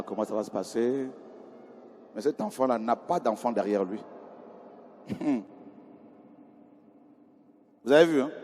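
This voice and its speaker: A man preaching a sermon in a conversational way, often questioning the congregation and moving from quiet asides to loud cries. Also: on the low side, slight background noise. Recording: hall reverb with faint ambience.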